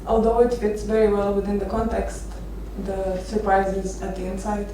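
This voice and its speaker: A woman in her thirties from Romania gives an informal talk as a photographer, telling personal stories with hesitant, halting phrasing. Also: mid-range voice, level tone. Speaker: architecture photographer giving a conference talk. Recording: echoey noisy venue, distant microphone.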